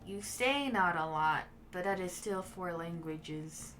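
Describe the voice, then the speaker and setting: A woman whose first language is Mandarin, chatting casually with viewers while she plays a game as a streamer, in a relaxed and musing way. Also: fairly low pitch, slow pace. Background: game music.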